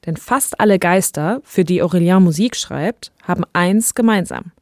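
A woman's voice: fairly low pitch, lively intonation, moderate pace, a little mellow, dry recording, studio quality. A woman hosting and reporting in a conversational investigative podcast narration style. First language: German.

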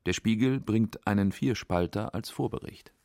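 A man's voice: fairly low pitch, moderate pace, vocal fry, mellow, no room sound, clean studio sound. A man reading scripted narration for a radio documentary. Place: dry studio.

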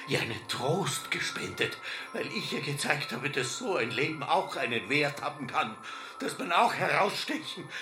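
Grandiose, animated visionary farmer. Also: male voice, on the high side. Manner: halting, repetitive, exalted proclamation. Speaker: actor. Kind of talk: radio drama acting. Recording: roomy echo under prominent music.

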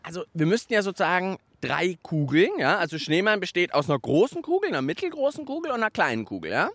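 A man's voice: high-pitched, very animated, quick pace, fairly bright tone, no room sound. A man hosting a children's radio show outdoors in a park, playful and enthusiastic. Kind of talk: lively kids' show presenting.

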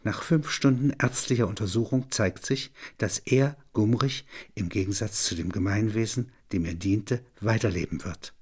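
Husky, low-voiced male literary narrator. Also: moderate pace, hardly any pauses, darkish tone.

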